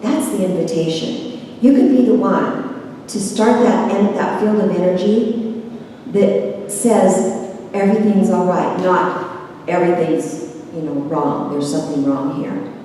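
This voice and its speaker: A woman's voice: lowish voice, unhurried, dark tone. A woman gives an earnest, encouraging inspirational talk as a speaker at a spiritual service. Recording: echoey hall, distant mic, faint noise.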